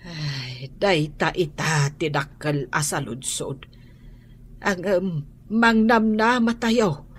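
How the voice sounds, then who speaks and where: A woman's voice: on the low side, takes it slowly, husky. A woman playing an anxious, prayerful elderly grandmother in an Ilocano radio drama, sighing and drawing out her words. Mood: worried. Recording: noisy radio drama recording.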